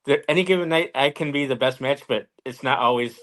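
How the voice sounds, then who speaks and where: A man's voice: mid-range voice, fairly quick, fairly bright tone. A man as an interview guest, chatting casually and telling stories in a plain-spoken way with short simple answers. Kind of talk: casual storytelling chat.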